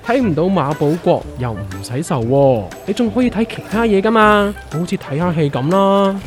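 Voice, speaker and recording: A woman's voice: lowish voice, animated, normal speed, soft background music, lightly acted. A woman with a Hong Kong Cantonese accent playing a blunt, teasing co-worker in a comedic audio drama, given to mock-serious exaggeration. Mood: playful, animated.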